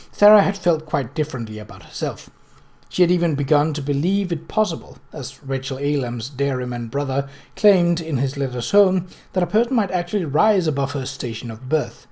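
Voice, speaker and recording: A narrator reading a novel aloud in a measured storytelling manner. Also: medium pitch, darkish tone. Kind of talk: scripted audiobook narration.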